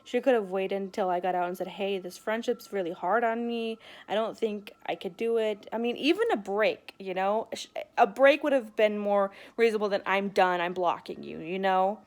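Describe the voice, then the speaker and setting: Woman, vlogger, medium pitch, candid, casual, rambling storytelling. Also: normal speed. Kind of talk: casual personal update vlog, storytelling.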